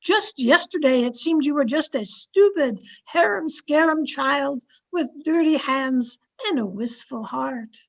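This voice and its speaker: Female actor as scolding, anxious household servant, blunt, high-pitched, old-time radio drama delivery. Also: unhurried, vocal fry, hardly any pauses, rough recording. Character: Ellen, fretful, blunt household servant woman narrating.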